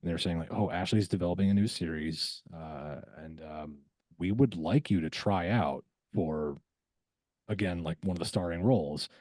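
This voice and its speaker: A man who works as a voice actor, talking casually as a podcast guest, reflective and halting, often repeating words as he finds his way. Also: low-pitched, darkish tone, dry recording.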